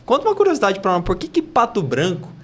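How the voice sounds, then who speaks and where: Upbeat Brazilian podcast host. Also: male voice, high voice, huge pitch swings, fast. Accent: Brazilian Portuguese. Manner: chatty, upbeat, reads sponsor thanks. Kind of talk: casual podcast interview.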